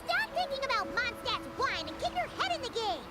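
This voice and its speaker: A woman voicing a tiny, excitable fairy companion in a video game, bossy and quick to scold. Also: very high-pitched, faint music behind, heavily acted. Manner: scolding, exclamatory.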